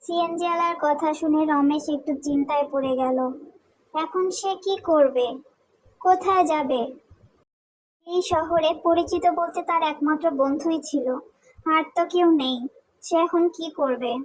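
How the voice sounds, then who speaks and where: A woman's voice: high-pitched, unhurried, poor audio. A woman narrating a cartoon folk tale in a scripted storytelling style.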